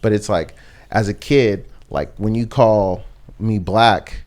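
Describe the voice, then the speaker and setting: A man's voice: fairly low pitch, slow pace, darkish tone. A young man from the United States, a podcast guest talking through his thoughts in a reflective, rambling way, full of fillers and restarts. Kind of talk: casual conversation.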